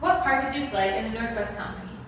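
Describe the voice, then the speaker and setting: Soft-spoken woman, echoey and distant. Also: a little high, quick pace, background noise. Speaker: student interviewer in a school project. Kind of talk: reading scripted interview questions. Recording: echoey room, far from the mic, music behind.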